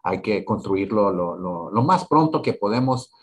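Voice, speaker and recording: Halting low-voiced Texan man. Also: lively intonation. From Laredo, Texas. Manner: halting, many fillers and repeated words. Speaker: council candidate, immigration attorney. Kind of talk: interview in Spanish.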